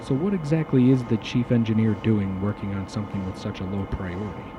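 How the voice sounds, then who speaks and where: A low-pitched, authoritative starship officer, played by a man, giving orders with dry sarcasm in a sci-fi audio drama. The recording is dull and sits in a clear bed of engine-like noise. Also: fairly even intonation, brisk, lightly acted. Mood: sardonic.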